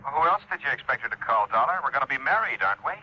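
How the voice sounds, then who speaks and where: An actor playing a blunt, hurried American man on a telephone line in an old-time radio drama. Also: mid-range voice, very animated, breathy edge. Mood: guarded, insistent. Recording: muffled old radio recording.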